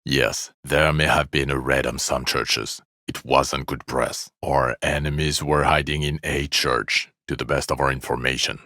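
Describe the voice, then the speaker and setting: An actor playing a jaded, sardonic middle-aged police detective in a video game, blunt and weary, with a gravelly voice. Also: male voice, rumbling low, steady tone, measured pace, few pauses, sharp tone, dry recording, studio quality, heavily acted. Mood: sardonic, curt.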